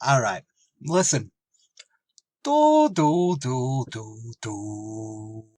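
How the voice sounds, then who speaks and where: A dopey, dim-witted tavern regular, played by a man in an old-time radio comedy. He speaks in a slow, thick drawl, and his pitch swings widely. The sound is dry and a little off the mic. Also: expressive, mellow, no room sound.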